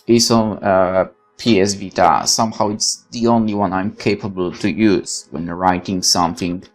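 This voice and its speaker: A woman reading a visual novel aloud as a let's player, breaking off into candid, opinionated commentary with some swearing. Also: very deep voice. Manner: opinionated, exclamatory, swears freely. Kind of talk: reading game text with candid side commentary.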